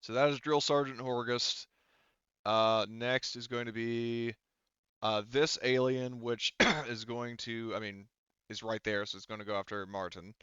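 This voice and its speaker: A man's voice: mid-range voice, fairly even intonation, measured pace, fairly bright tone, clear, resonant tone, dry recording. A man acting as game master in casual tabletop play, informally thinking aloud as he works through rules on a slightly dull, dry microphone.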